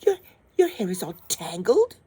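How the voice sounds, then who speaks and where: Snooty whiny prince, lady putting it on, high and breathy, stumbles over words. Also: huge pitch swings, drawn-out words, warm tone, no echo, exaggerated voice. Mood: disgusted. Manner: whiny, prissy, stammering. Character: fussy vain young prince, snobbish and prissy.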